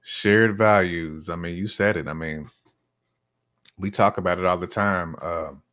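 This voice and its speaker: Deep-voiced man chatting casually. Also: fairly even intonation, unhurried, fairly bright tone. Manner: colloquial, emphatic, searching for words. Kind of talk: casual conversational chat.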